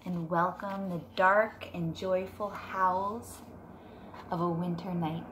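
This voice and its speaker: A woman in her thirties, a teacher reading a picture book aloud gently and unhurriedly, drawing out words, at home. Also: lowish voice, fairly even intonation, slow pace. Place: living room at home, on a couch. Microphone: device camera a short distance away. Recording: noticeable room background noise.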